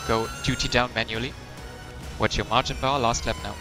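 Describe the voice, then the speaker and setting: Race engineer: male, medium pitch, brief instructions through team radio, music underneath. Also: little pitch movement, fairly quick. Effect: team radio transmission.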